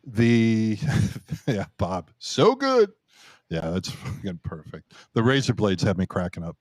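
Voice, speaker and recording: Casual, swearing live-stream host. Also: male voice, on the low side, big pitch swings, takes it slowly, a little mellow, dry recording, studio quality. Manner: loose, swearing, laughs easily. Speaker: host and site founder.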